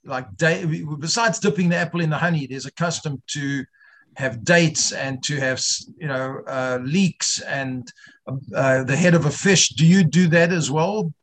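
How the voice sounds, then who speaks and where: A man with a fairly high voice leads an informal online class. He talks haltingly, repeats himself and builds his points through questions to the students. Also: slight background noise. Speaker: teacher of an online religious class.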